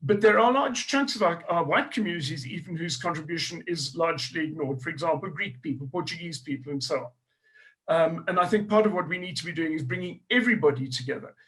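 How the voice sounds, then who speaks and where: A middle-aged South African man with a higher-pitched voice talks through his ideas earnestly as a historian guest. He thinks aloud, restarting his phrases and circling back to his point. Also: quick pace, a bit bright, poor audio. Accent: South African English. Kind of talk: panel discussion.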